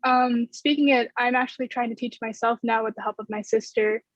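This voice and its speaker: A woman's voice: fairly high pitch, steady tone, a little fast, no echo. A young woman from the United States giving a reflective personal answer as a student panelist on a video call. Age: young adult. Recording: dull, lo-fi video call audio.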